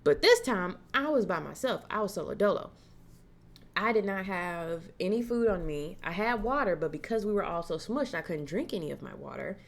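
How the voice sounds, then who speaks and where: Low-voiced young woman telling a story. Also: normal speed, gravelly. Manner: animated, acts out quoted voices, casual slang. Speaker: horror vlogger. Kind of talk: casual storytelling to camera.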